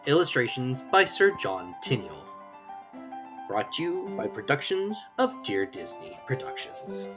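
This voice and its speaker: A woman's voice: rumbling low, sing-song, measured pace, over music. A woman narrating a children's classic as scripted storytelling, with theatrical, emphatic phrasing.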